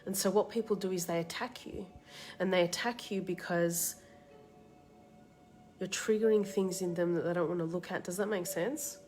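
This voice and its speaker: A woman with a slight cold and a tickly throat, hosting a live card reading and giving soft, reassuring advice to viewers by name. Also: on the low side, steady tone, moderate pace, soft background music. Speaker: card reader and meditation host. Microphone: live-stream setup.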